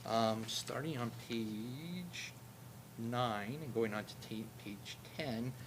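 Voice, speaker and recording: A man auditor presenting financial statements to a town council, in a steady, explanatory way that he himself calls droning. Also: slow pace. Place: council meeting room. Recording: meeting recording with loud background rumble.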